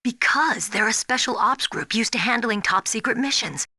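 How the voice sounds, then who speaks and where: Plucky, bright-voiced young rookie soldier. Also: female voice, a little high, moderate pace, no room sound, studio quality, full character voice. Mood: confiding, frank. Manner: frank, plucky, expressive. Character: spirited, earnest young rookie woman soldier, eager to prove herself. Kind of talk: dramatic video game voice acting.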